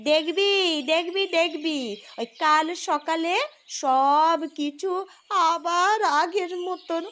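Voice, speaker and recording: A woman voicing a scolding old village grandmother in a cartoon, with an emphatic, drawn-out way of talking. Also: very high voice, fairly even intonation, fairly bright tone, full character voice. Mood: exasperated. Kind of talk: exaggerated Bengali cartoon voice acting.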